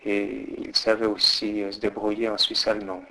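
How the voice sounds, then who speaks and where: A slightly low-voiced middle-aged man from Portugal speaks in a relaxed interview. He hesitates and restarts often as he recalls details, filling the gaps with pauses. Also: creaky, poor audio. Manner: hesitant, many fillers and restarts. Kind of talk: oral history interview.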